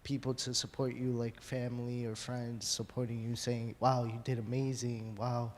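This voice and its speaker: Flat-voiced young man musician, hesitant. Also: medium pitch, moderate pace, a little hiss or hum. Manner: hesitant, many fillers. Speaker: student musician panelist. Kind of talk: live panel discussion. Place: large hall. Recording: long hall reverb from a live panel.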